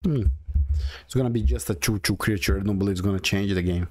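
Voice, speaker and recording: Brazilian man with a low, dry voice. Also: quick pace, darkish tone, no room sound. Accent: Brazilian Portuguese. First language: Portuguese. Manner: thinks aloud, chatty, restarts phrases. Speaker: gaming video creator. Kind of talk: casual gameplay commentary.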